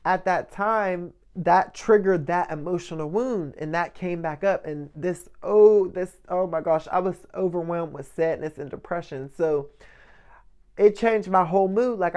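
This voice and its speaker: A man with a light, soft voice giving a casual motivational monologue as a vlogger, often checking in with the listener. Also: high voice, clean tone. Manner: conversational, checks in often with "right?" and "you know".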